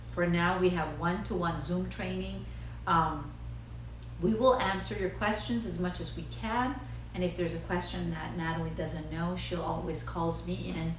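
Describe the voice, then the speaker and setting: A woman in her fifties, a dentist, speaking emphatically and persuasively about her product in a casual live stream. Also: lowish voice. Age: 50s. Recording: strongly echoey room, faint noise.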